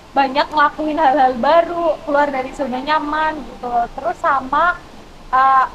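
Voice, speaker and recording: A woman's voice: sits high, bright, forceful tone. A young woman, chatting informally as a student guest on a talk show. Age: young adult. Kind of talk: casual talk show chat. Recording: clearly noisy background ambience.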